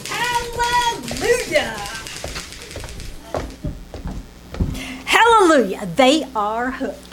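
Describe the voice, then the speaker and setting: A woman's voice: very high-pitched, big pitch swings, slow pace, exaggerated voice. A woman playing a dizzy, boastful young Hollywood starlet in old-time radio comedy style, gushing and theatrical with comic declarations. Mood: giddy, elated. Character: dizzy, hyperactive young female movie starlet, boastful and excitable. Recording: live theater stage with background noise.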